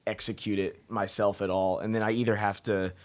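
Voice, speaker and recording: A man's voice: on the low side, level tone, fairly quick. A man hosting a podcast, chatting casually, laughing often and filling his talk with "like" and "you know". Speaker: podcast host. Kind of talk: casual interview chat.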